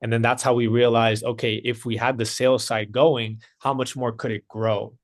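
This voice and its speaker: A man interviewing a guest as a business consultant, summarizing answers and asking long, multi-part questions in a measured way. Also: mid-range voice, little pitch movement.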